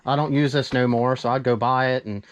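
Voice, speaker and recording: A man's voice: mid-range voice, steady tone, a little fast, muffled recording. A man in casual hobby chat, speaking in an enthusiastic, agreeable and rambling way as a visiting vlogger. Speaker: vlogger visiting a radio collector.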